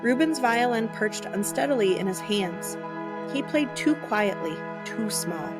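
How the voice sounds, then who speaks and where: Expressive woman reading a children's story. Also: a little high, measured pace, loud music behind the voice. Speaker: storyteller reading a picture book aloud.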